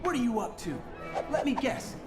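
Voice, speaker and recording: A man playing a cheeky, wisecracking teenage boy superhero with a teasing manner in an animated video game. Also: very high voice, normal speed, loud music behind the voice. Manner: teasing, bouncy. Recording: echoey, loud game score behind.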